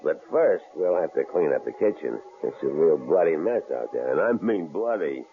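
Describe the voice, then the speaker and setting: An actor playing a menacing, sneering male stranger in an old radio drama, with a gravelly, mocking drawl. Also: rumbling low, expressive, moderate pace. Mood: leering. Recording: tinny old radio broadcast, faint hiss.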